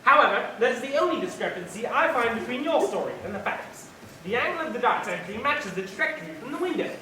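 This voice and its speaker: A teenage high school actor playing a pompous, exacting detective inspector in a stage play, speaking in formal, precise pronouncements. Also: big pitch swings, fast, sharp tone. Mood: clinical, aloof. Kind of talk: theatrical stage acting. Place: large auditorium stage, indoors. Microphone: far camera in audience. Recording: echoey school auditorium, distant noisy camera.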